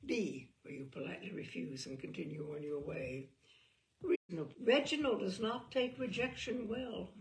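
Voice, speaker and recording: A woman reading a book aloud as a storyteller, theatrical and expressive, with a wry, arch delivery. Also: mid-range voice, moderate pace, slight background noise, low-quality recording.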